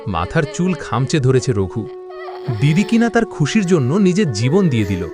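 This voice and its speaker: A man's voice: on the high side, big pitch swings, moderate pace, over music. A man narrating a scripted horror story with dramatic, suspenseful delivery.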